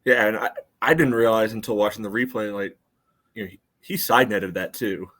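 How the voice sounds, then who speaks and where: A man's voice: on the low side, fairly even intonation, fairly quick, fairly bright tone. A man co-hosting a soccer podcast, casually analysing play in an explanatory way with frequent "you know" asides. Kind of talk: casual sports analysis chat.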